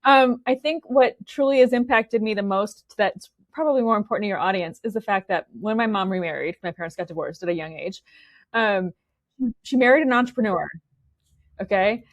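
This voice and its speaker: A woman's voice: mid-range voice, fairly quick, dry recording, muffled recording. A woman in her forties from Romania, talking casually as an interview guest, restarting sentences and tagging them with "okay?". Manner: chatty, many restarts and "okay?" tags.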